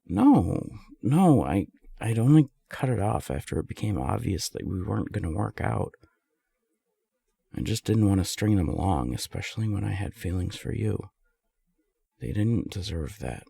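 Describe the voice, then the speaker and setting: A man playing a lovestruck, self-deprecating non-binary friend in an intimate romantic roleplay audio, speaking softly and haltingly in a breathy, husky voice. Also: low-pitched, sing-song, no echo, full character voice. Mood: regretful, defensive. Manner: hesitant, halting, soft-spoken.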